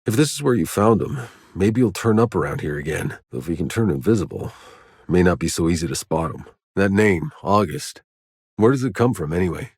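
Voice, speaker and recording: A man playing a gruff, wry, battle-worn soldier in a sci-fi video game. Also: sits low, animated, brisk, a little mellow, dry recording, studio quality, full character voice. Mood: sardonic, casual. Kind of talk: video game voice lines, dramatic acting.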